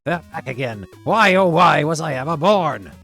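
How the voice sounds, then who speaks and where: A man voicing a grumpy, excitable cartoon duck who exclaims and hiccups in a storybook reading. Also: medium pitch, normal speed, creaky, soft background music, heavily acted. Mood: exasperated.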